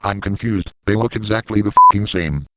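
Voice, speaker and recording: A man's voice: on the low side, moderate pace, noisy background. A man giving sarcastic, irreverent commentary in a snide manner. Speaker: mocking commentator.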